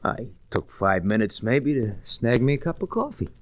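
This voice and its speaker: Wisecracking cabbie played by a man, mid-pitched, swooping delivery, working-class slang, dry close sound. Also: very animated, moderate pace, no echo, close to an everyday voice. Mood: chatty. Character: grumbling, chatty working-class male cab driver. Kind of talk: 1940s radio drama acting.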